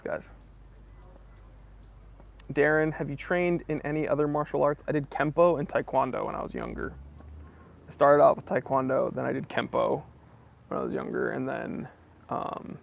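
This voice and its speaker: Gravelly-voiced man hosting a casual Q&A. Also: mid-range voice. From New England, US. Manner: reads viewer comments aloud, answers in a friendly easygoing way. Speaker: host of a watch channel. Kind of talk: casual livestream Q&A.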